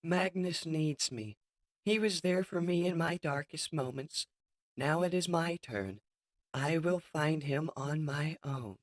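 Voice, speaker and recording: A woman's voice: deep voice, slow pace, no room sound, clean studio sound. A woman playing a loyal, remorseful robot warrior in a comic dub, speaking in an earnest, subdued way. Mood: determined. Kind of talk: comic dub character acting.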